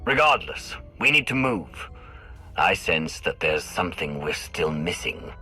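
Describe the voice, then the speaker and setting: Game lead: commanding, grave Sith, low and forceful with animated pitch, music underneath. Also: male voice, measured pace, exaggerated voice. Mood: grave, resolute. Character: stern, resolute male Sith player hero, commanding. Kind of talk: video game cutscene acting.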